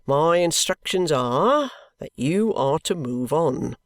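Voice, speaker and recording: A reader voicing a stern, unyielding police constable who speaks slowly and firmly. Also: medium pitch, animated, dry recording, studio quality. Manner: firm, slow and weighty.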